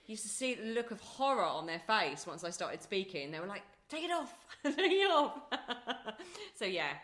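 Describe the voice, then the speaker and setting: A middle-aged woman co-hosting a podcast, chatting casually in a loud, bubbly, teasing way. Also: mid-range voice, very animated, moderate pace. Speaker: podcast co-host. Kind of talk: casual chat. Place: home in Ibiza.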